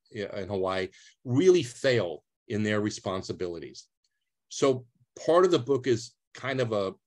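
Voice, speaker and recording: A man, giving an earnest, plain-spoken talk over a video call as a retired public defender. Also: lowish voice. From US East Coast.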